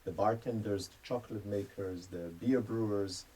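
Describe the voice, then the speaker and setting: A man's voice: lowish voice, fairly even intonation, normal speed, mellow, breathy edge, rough recording. A man in his forties from Israel, a retired chef turned spice merchant, explaining his ideas at length in a radio interview. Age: 40s.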